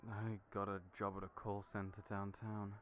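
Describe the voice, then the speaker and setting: Soft-spoken, hesitant low-voiced man. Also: monotone, normal speed, dull audio, a little hiss or hum. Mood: reluctant. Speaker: audio roleplay voice actor. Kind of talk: scripted audio roleplay.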